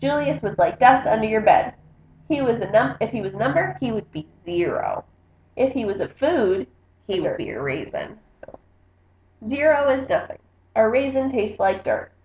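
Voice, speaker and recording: A woman's voice: fairly high pitch, very animated, moderate pace, darkish tone, roomy sound, dull audio, a little hiss or hum. A woman voicing a bossy, jealous little girl mouse from a storybook, dramatic and imperious. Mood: scornful.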